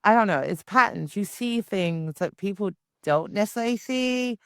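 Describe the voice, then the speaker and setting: Chatty woman host, smooth clear voice, dry close recording. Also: mid-range voice, animated, normal speed, dry recording. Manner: chatty, talks through ideas aloud. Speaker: podcast host. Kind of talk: casual interview chat.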